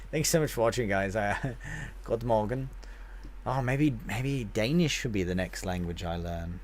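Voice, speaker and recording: A man's voice: lowish voice, slight background noise. A man streaming a game live, chatting casually and rambling as he answers viewers with friendly banter.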